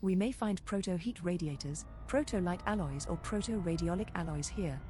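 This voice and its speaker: A woman's voice: on the low side, expressive, moderate pace, dark tone, faint music behind, lightly acted. A woman voicing an irreverent spaceship copilot, giving scripted game updates in a matter-of-fact, informative way. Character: female ship computer copilot, relaxed and irreverent. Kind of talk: scripted game companion voice lines.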